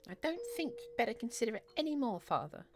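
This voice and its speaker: A woman puts on a young boy for an audio drama. He is spoiled and coldly defiant, high in pitch with very lively intonation, over clear background music. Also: fast talker. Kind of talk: dramatic audio short story reading.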